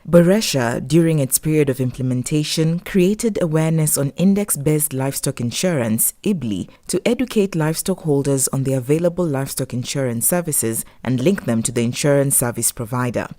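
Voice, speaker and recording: Low, mellow woman narrator. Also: a little fast, few pauses, no echo. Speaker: documentary narrator. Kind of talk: scripted narration.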